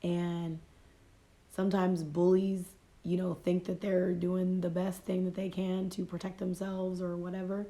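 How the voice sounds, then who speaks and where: A woman's voice: lowish voice, level tone, measured pace, warm tone. A woman life coach with a cold, talking casually and reflectively on a phone livestream, repeating and rephrasing her points. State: has a cold, voice fading. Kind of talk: motivational casual talk. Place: home livestream.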